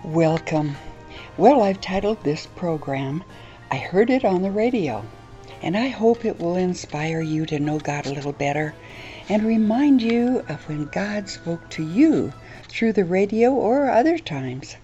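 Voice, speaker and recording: Female radio ministry host: low-pitched, mellow, earnest and gentle, dull lo-fi sound. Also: animated, a little slow, faint music behind. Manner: gentle, earnest, softly preaching. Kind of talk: scripted radio devotional.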